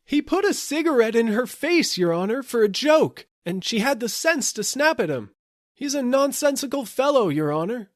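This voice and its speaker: A man's voice: extremely high pitch, big pitch swings, normal speed, no echo, clean studio sound, pitched above the performer's own voice. A man voicing an eager, tattling bystander from a crowd in an audiobook. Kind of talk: audiobook character acting.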